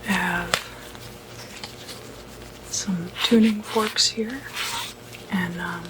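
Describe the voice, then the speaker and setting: Woman acting as a calm puzzled doctor, breathy whisper, unhurried halting delivery, ASMR roleplay, noticeable background noise. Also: fairly low pitch. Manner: hesitant, halting, murmured asides. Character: calm, quietly puzzled doctor examining a possessed patient. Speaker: ASMR performer.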